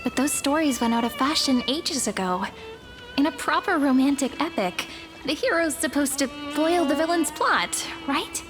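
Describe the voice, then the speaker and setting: A woman playing a sweet, playful young companion in a video game, with a gentle, musing, teasing manner. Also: sits high, moderate pace, music in the background, lightly acted. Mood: affectionate, teasing. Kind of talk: scripted game dialogue, anime-style.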